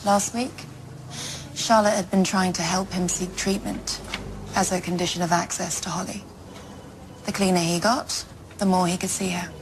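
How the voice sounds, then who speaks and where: A woman acts out a businesswoman in her fifties for an audio drama. She is blunt and exasperated, with a slightly low voice. There is clear background noise behind her. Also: steady tone, moderate pace, natural voice. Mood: blunt, concerned. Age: 50s. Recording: clearly noisy background ambience.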